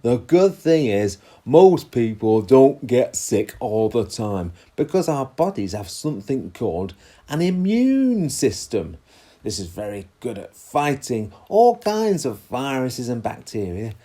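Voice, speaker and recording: A man playing a calm, patient dad explaining things reassuringly in a children's story. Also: medium pitch, a little slow.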